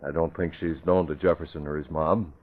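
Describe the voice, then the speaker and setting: An actor playing a calm, decisive young newspaper publisher, in an old radio drama. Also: male voice, rumbling low, brisk. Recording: thin phone-like old radio recording.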